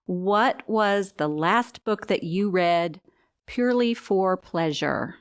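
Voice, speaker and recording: A woman's voice: medium pitch, lively intonation, takes it slowly. A woman hosting a casual book club chat, friendly and laughing often, with plenty of fillers. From Kansas.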